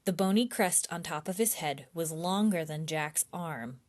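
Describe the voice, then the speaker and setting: A woman reading a children's chapter book aloud in an expressive storytime style. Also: deep voice. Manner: slow, clear, animated storybook delivery.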